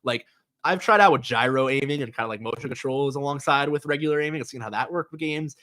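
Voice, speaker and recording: A man's voice: mid-range voice, quick pace, bright, forceful tone. A young man hosting a gaming news show, chatting loosely with many fillers and restarts, sounding nasal from a cold. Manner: rambling, lots of fillers and restarts. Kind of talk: casual solo commentary.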